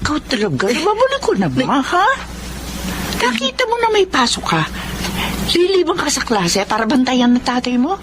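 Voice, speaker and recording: An actress playing a sharp, scolding, bossy middle-aged wife and mother in a radio drama. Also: high-pitched, very animated, moderate pace, noisy background. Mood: harsh, resentful. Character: nagging, short-tempered mother and wife, harsh scolder. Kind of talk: Filipino radio drama acting.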